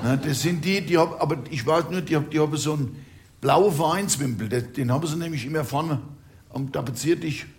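A man's voice: on the high side, fairly quick, slight background noise, close to an everyday voice. An older man rambling in a grumbling way, stumbling and repeating himself. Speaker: grumbling old worker figure.

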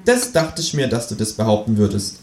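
A man voicing a cheeky, quarrelsome kangaroo with a high, whiny squawk in a comic live reading. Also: very animated, brisk, a little mellow, echoey room, slight background noise. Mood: smug.

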